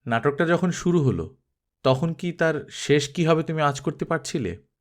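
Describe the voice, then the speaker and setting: A man voicing a detached, philosophical film director in a storybook, questioning and deliberate. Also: a little high, animated, close to an everyday voice. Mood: probing. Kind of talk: Bengali audiobook character acting.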